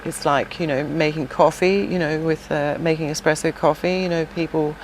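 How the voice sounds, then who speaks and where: A woman's voice: low-pitched, steady tone, normal speed, a little hiss or hum, poor audio. A middle-aged woman, a former singer, chatting casually in an interview, with many fillers and restarts. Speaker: podcast guest, shop owner and former singer.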